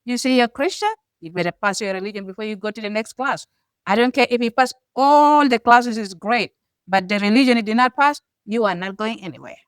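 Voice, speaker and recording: A woman's voice: fairly high pitch, a little fast, smooth, no room sound, clean studio sound. A middle-aged woman from South Sudan with a South Sudanese accent, co-hosting and telling her life story in an earnest, emphatic way. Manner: earnest, emphatic, rising to forceful stresses. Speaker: co-host. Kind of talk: personal storytelling.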